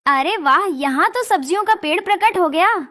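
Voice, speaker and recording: Very high-voiced little cartoon bird wife, woman acting. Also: animated, moderate pace, bright, forceful tone, dry recording, clean studio sound, heavily acted. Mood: wondering. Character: meek, pleading young married female bird. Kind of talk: Hindi moral-story cartoon voice acting.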